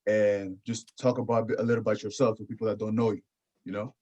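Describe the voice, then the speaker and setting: A man's voice: lowish voice, flat delivery, fast, dark tone. A man hosting a podcast in casual chat, often repeating his phrases. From Houston area, United States. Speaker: podcast host. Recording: dull, lo-fi audio.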